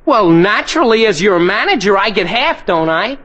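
A man playing an eager, scheming teenage boy in a 1940s radio sitcom, with excitable, wheedling patter, on an old broadcast recording. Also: high-pitched, moderate pace, lo-fi sound, exaggerated voice.

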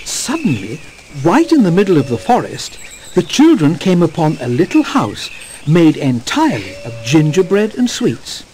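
A man narrating a scripted fairy tale as storyteller, in an expressive manner. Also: on the high side, normal speed, slight background noise.

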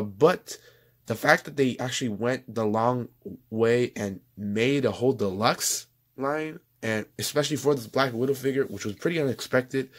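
A young man reviewing toys at home in a rambling, chatty way, his voice going hoarse. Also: mid-range voice. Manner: rambling, chatty, self-correcting. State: losing his voice. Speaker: toy reviewer. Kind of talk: casual toy review voice-over.

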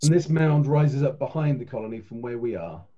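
A man's voice: mid-range voice, expressive, a little fast, dark tone. A man chatting casually as a player in a tabletop game on an online call. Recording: dull, muffled call audio.

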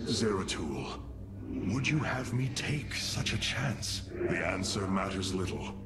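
An actor playing a resolute, noble alien warrior leader in a game cinematic, speaking in a solemn, stately manner. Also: male voice, low-pitched, very animated, measured pace, very noisy. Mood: solemn, reflective. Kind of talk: dramatic game cinematic acting. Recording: loud orchestral game music behind.

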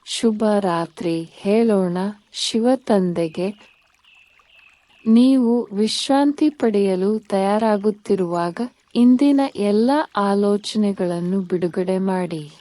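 A woman guiding a scripted sleep meditation in a soothing, unhurried manner, in short phrases with pauses. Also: mid-range voice, warm tone, no room sound. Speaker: meditation guide.

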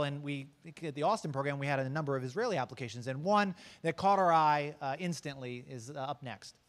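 A middle-aged man as host of an accelerator program, introducing the next startup on stage with a brisk, upbeat manner. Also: on the high side. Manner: brisk, upbeat, some restarts. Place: large hall with stage. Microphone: handheld mic.

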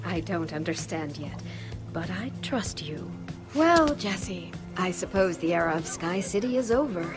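A woman's voice: on the low side, expressive, unhurried. A woman playing a proud, formal, authoritative female founder of a sky city in a video game. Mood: conceding. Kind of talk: dramatic video game voice acting. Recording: music behind the voice.

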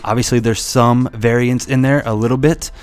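A man's voice: mid-range voice, normal speed. A man hosting a fitness channel, talking casually through a meal prep voice-over with a few fillers. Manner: relaxed, casual explanations with fillers. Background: faint background music.

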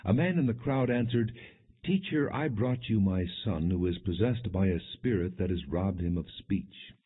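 A man reading scripture as an audiobook narrator, in a solemn, measured, scripted storytelling style. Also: deep voice, husky. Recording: muffled, narrow phone-like audio.

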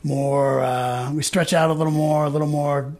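A man's voice: on the high side, fairly even intonation, normal speed, a little mellow. A man chatting casually as a band member being interviewed on radio.

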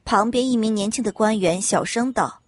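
A woman's voice: mid-range voice, moderate pace, no room sound. A woman reading scripted audiobook narration as the storyteller. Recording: music under the narration.

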